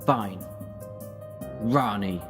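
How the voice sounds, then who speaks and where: A man's voice: fairly low pitch, drawn-out words. A man acting in an audio drama as an intense, protective, heroic time-travelling alien. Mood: resolute. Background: music.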